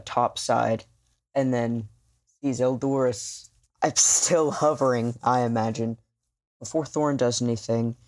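A player narrating a tabletop role-playing game in halting, thoughtful, improvised phrases. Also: mid-range voice, a little mellow.

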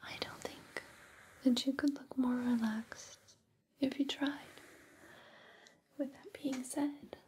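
A woman hosting an ASMR personal-attention roleplay in a breathy, soothing whisper, intimate and unhurried, close on a binaural microphone. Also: high-pitched, steady tone, darkish tone. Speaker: ASMR host.

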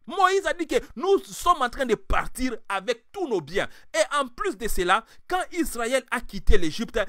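A male preacher giving a passionate sermon in French, though he now speaks English more. He swings from quiet talk to loud, forceful preaching. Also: very high-pitched, dry recording, studio quality. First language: French, now mainly English-speaking. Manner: impassioned, swings from quiet to shouting.

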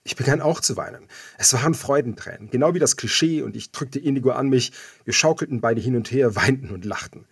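A man's voice: mid-range voice, a little fast, gravelly, few pauses, slightly rough, no echo, studio quality. A man reading first-person fiction as a scripted audiobook narrator.

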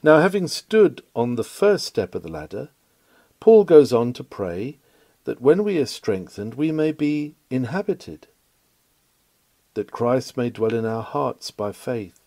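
A man's voice: medium pitch, big pitch swings, unhurried, mellow, dry recording. A man reading an old-fashioned sermon aloud in an oratorical style, with an earnest, exhorting and solemn delivery. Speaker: reader of historic sermons. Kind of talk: read-aloud 19th-century sermon, oratorical.

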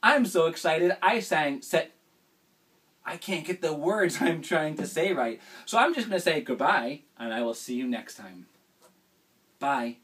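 A man's voice: a little high, expressive, unhurried, fairly bright tone, recorded from a distance. Eager man talking to kids. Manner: eager and bubbly, speaking directly to kids. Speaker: children's singer and host. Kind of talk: talking to children, then singing with guitar. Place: small indoor room with purple backdrop. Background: acoustic guitar.

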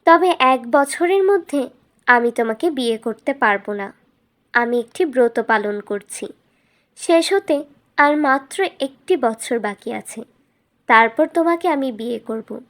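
A woman's voice: high voice, lively intonation, measured pace, fairly bright tone. A woman voicing a clever, composed young fairytale princess, polite and persuasive. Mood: sweetly persuasive.

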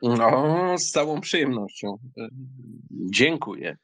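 A man acting an arrogant, impetuous German count in an audiobook drama, with a bold, theatrical manner and a husky, gravelly voice. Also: medium pitch, big pitch swings, slow, dry recording, full character voice. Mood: hesitant, polite.

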